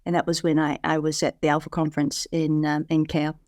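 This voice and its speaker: A podcast guest from New Zealand, a woman with a fairly low voice, tells her story with lively energy and frequent restarts. The sound is dry and close, as on a video call. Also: fairly quick, dry recording. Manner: animated storytelling with many fillers and restarts. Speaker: podcast guest, parish leader. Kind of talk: casual conversation over video call.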